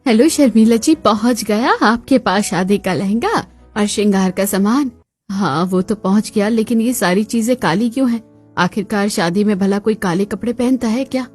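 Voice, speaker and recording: A woman acting the dramatic, expressive daughter and chatty female relatives of a cartoon family story. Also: mid-range voice, moderate pace, husky, close to an everyday voice. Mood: anxious, dismayed. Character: young unmarried daughter and her chatty women relatives, expressive. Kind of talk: Hindi cartoon story dialogue. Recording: music bed behind the voice.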